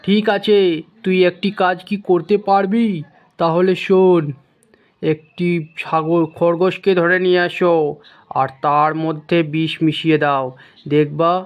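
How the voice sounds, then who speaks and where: Advising goat pal for a kids' cartoon, male performer, high-pitched, muffled recording. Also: fairly even intonation, a little slow. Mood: scheming. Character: concerned, advising goat friend of the fox. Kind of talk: children's animal cartoon voice-over.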